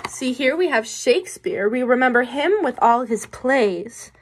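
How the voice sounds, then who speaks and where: A woman's voice: high voice, normal speed, slight background noise. A young adult woman reading a picture book to children as a teacher would, with explanatory asides and questions to her listeners. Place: small room indoors.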